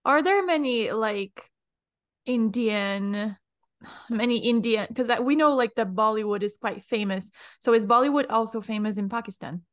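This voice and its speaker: A woman's voice: a little high, normal speed, fairly bright tone. A woman hosting a podcast interview, asking friendly, curious questions while she has a cold. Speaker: podcast host. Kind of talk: casual interview.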